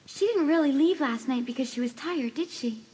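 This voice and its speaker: A woman's voice: sits high, moderate pace, darkish tone, close to an everyday voice. A woman playing an anxious, earnest teenage girl in a dramatised audiobook. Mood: reproachful, worried.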